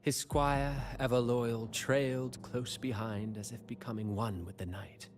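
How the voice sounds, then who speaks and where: A man acting a sly, mocking schemer and storyteller in a video game, speaking in florid, measured, theatrical lines. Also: medium pitch, steady tone, lightly acted. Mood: grand storytelling. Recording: voice over game music.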